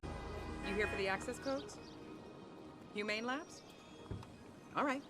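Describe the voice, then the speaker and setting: A woman's voice: on the high side, slow pace, over loud music. A woman acting as a guarded, probing underworld contact in a video game cutscene. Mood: wary, probing.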